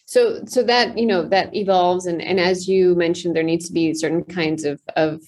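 Husky-voiced woman podcast host. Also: fairly low pitch, fairly even intonation, moderate pace, darkish tone. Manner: conversational, uses "you know" and "right". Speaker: guest host. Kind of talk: interview questions.

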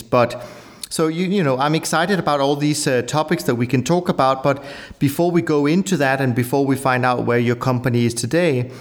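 A man hosting an interview, asking questions politely and encouraging the guest with short acknowledgements. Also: mid-range voice. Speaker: podcast host.

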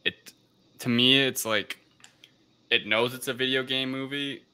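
A man talking informally and haltingly as a panelist in a casual discussion. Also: mid-range voice, fairly even intonation, pushed and bright, clean tone. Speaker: podcast panelist reviewing films.

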